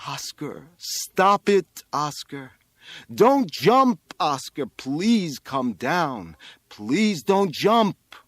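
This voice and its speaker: A man voicing an anguished, helpless rabbi in an audiobook story, with halting, drawn-out pleading. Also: fairly high pitch, expressive, fairly bright tone, husky, no room sound, voice pushed up, full character voice. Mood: desperate pleading.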